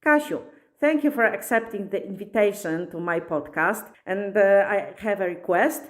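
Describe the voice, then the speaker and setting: A woman hosting a podcast, opening with a scripted intro and then interviewing her guest at a slow, measured pace. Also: mid-range voice. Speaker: podcast host and coach.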